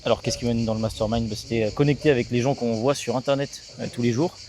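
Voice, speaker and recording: A man's voice: mid-range voice, steady tone, quick pace, a little mellow, slight background noise. A man in his thirties from Alsace giving a talkative, casual interview, peppered with business jargon. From Alsace, France. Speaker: sales agency co-founder being interviewed.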